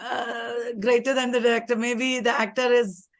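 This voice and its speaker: A woman hosting an interview, asking measured, probing questions. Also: a little high, level tone. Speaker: interviewer host.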